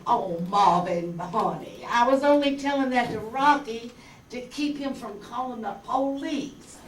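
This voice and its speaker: A woman's voice: fairly high pitch, a little slow, close to an everyday voice. A woman playing a scheming, gold-digging wife in an amateur radio play, coaxing with false sweetness. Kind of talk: amateur old-time radio play acting. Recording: echoey, distant, noisy room recording.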